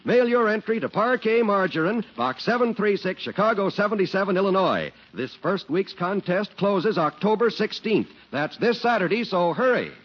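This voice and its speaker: A man's voice: sits high, animated, moderate pace, hardly any pauses. A man working as a 1940s radio announcer, delivering scripted advertising and narration. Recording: muffled 1940s radio recording. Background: music.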